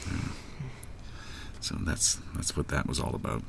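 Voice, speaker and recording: Sheepish middle-aged guy, bass-deep and stammering, satirical radio play, some noise behind. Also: unhurried, gravelly, fairly bright tone, exaggerated voice. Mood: flustered, evasive. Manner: hesitant, stammering, low-key. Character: evasive, sheepish middle-aged actor hiding things from his lover. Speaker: actor in an audio drama. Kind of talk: naturalistic satirical audio drama acting.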